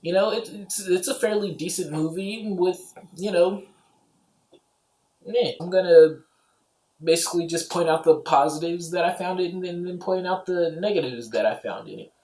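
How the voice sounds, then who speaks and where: Guy with a kinda higher voice doing a chill offhand movie review, rambles a lot, echoey room. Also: normal speed, a little mellow. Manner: rambling, offhand, peppered with "you know" and "like". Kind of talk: casual, informal movie review. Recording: roomy, echoey home recording.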